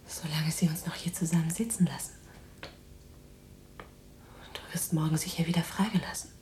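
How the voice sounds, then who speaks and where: An actress playing a weary but defiant, tender imprisoned resistance fighter in a German radio drama, speaking in a breathy, halting, intimate hush. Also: female voice, sits low, fairly even intonation, roomy sound, a little hiss or hum, close to an everyday voice. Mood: guarded, reassuring. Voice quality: breathy whispering. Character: exhausted, defiant, tender imprisoned resistance woman in wartime Netherlands.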